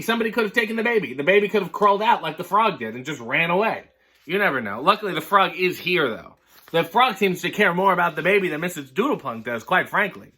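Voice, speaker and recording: A man's voice: sits high, sharp tone. A man reading a children's book aloud as host, with wry, mock-concerned comic commentary and frequent digressions.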